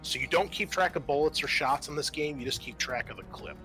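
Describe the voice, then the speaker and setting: Male game master, bright forceful voice, patient casual rules talk, music underneath. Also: fairly high pitch, a little fast.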